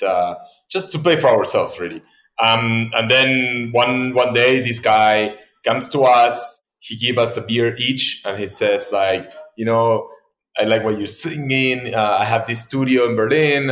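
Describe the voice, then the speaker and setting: A man's voice: fairly low pitch, a bit bright. This is a man, a Spanish speaker. He talks casually, like a guest telling a story in an interview. The sound is noticeably echoey, as if recorded in a bare room. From Argentina. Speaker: podcast guest, tech entrepreneur.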